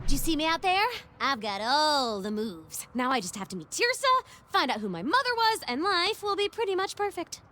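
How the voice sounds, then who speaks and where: Young game heroine, high bouncy voice, cheeky, eager, excitable, music behind. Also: female voice, a little fast, hardly any pauses, slight background noise, exaggerated voice. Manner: excitable, wide-eyed, cheeky. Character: plucky, eager, cheeky young adventurer girl. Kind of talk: animated family adventure game acting.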